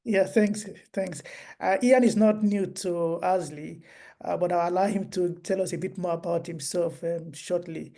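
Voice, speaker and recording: Female host, online book talk. Soft mellow tone, lowish pitch, halting and considered delivery. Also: steady tone, creaky. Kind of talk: semi-formal moderated online talk. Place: online video call.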